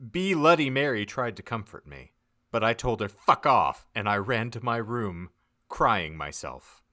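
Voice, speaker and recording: Animated man narrating with slow theatrical emphasis. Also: on the low side. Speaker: podcast narrator. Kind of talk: mock-dramatic comedic audiobook narration.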